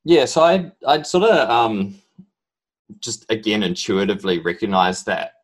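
Deep-voiced New Zealand man, halting. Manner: halting, rambling storytelling full of ums. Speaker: podcast guest. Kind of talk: casual interview talk.

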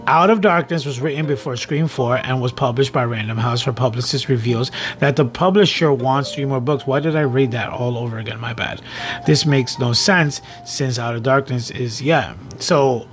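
A man hosting a casual film commentary, partly reading from notes, in a rambling way with repeated words and phrases. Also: medium pitch. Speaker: host of a film commentary show.

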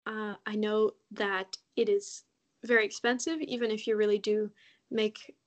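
A woman from Mexico, a podcast guest, talking in a relaxed, chatty way with frequent ums. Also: mid-range voice, a little slow, dull audio. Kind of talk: casual interview conversation.

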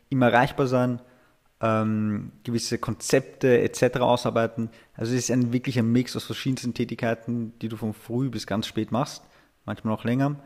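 Man in his 30s, interview guest, halting, filler-heavy, laughs easily. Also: medium pitch, a little mellow. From Austria. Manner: hesitant, many filler sounds, laughs easily. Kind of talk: casual interview talk.

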